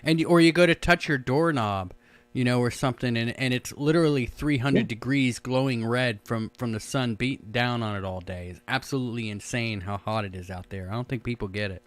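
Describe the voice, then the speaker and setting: A man's voice: medium pitch, brisk. Easygoing middle-aged male radio host. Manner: laughing, easygoing banter with stammered restarts. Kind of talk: casual radio chat.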